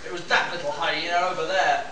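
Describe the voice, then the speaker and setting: A man's voice: on the high side, fairly even intonation, fairly quick, close to an everyday voice. A man playing a hot-tempered, indignant bruiser of a pilot in an amateur radio play. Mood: defensive. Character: hot-tempered big bruiser pilot, defensive, passionate about his flowers. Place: live stage performance at a convention. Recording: distant echoey room, noisy live recording.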